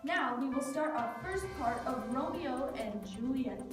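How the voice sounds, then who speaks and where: High-voiced woman narrating, very echoey room. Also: expressive, measured pace. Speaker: narrator of a puppet show. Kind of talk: scripted narration. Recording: very echoey room, distant mic, music behind.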